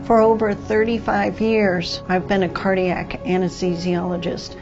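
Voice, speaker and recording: A woman in her sixties, a doctor, calmly telling her own story in a TV interview. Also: medium pitch. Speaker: doctor, anesthesiologist. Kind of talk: TV interview, personal account. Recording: dull lo-fi TV audio with faint music.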